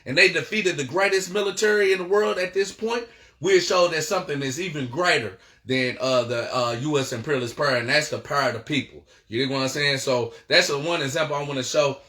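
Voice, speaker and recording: An American man delivers a political lesson with a bright, forceful voice. He is emphatic and rallying, circling back over phrases and tripping on words as he goes. The sound is roomy, with clear echo around his voice. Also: fairly high pitch, fairly quick. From United States. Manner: emphatic, repetitive, rallying, with frequent stumbles. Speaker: political education teacher. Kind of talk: impassioned political lecture with read-out passages.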